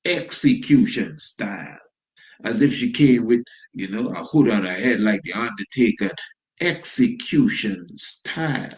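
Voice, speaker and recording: A man's voice: lowish voice, animated, measured pace. A man giving informal spoken commentary in a preachy, incredulous way, often throwing out rhetorical questions. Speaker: commentator reacting to news clips.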